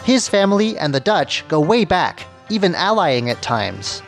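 A man narrates a radio documentary as its host, in a fairly high voice with very lively pitch movement. His delivery is scripted and presentational, with soft music underneath. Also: normal speed, creaky. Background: background music.

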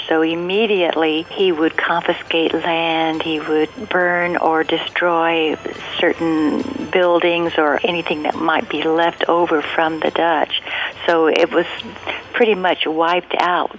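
A woman giving unscripted, explanatory interview answers as a guest, pausing mid-sentence as she thinks. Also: low voice, dull audio. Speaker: interview guest, radio drama writer and director.

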